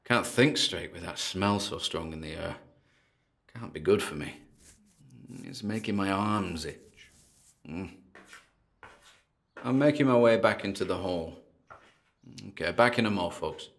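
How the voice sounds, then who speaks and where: An actor playing an uneasy paranormal investigator who haltingly narrates his tape log in a horror audio drama, with a gravelly voice. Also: low voice. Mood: disgusted, uneasy. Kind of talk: horror audio drama, first-person found-tape monologue.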